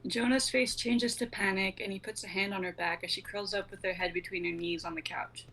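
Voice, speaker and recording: A woman's voice: mid-range voice, fairly even intonation, brisk, vocal fry, a bit bright. A young woman giving scripted narration as the reader of stage directions, on noisy livestream audio. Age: young adult.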